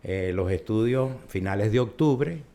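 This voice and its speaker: Older Venezuelan man, low voice. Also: lively intonation, unhurried, warm tone. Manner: chatty storyteller, recounts at length. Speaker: urologist guest. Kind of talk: conversational interview.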